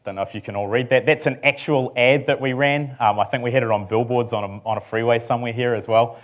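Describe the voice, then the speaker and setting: A middle-aged man with a New Zealand accent, a company CEO giving a relaxed conference presentation with dry humor and frequent ums. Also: medium pitch, quick pace.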